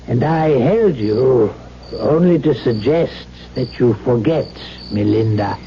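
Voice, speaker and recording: Old fatalistic doctor in a 70s radio play, really slow and formal, muffled hissy broadcast. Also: male voice, medium pitch, animated. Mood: ominous. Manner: weighty, halting, formal. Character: old doctor, secretive experimenter, fatalistic possessive father. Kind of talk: 1970s radio drama acting.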